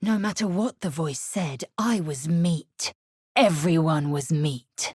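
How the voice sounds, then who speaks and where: A woman playing a fierce, haughty alien warrior in a video game, with a husky, raspy voice and clipped, imperious, formal delivery. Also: sits low, slow, darkish tone, no echo, studio quality, heavily acted. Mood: grim, narrating. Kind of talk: video game character acting.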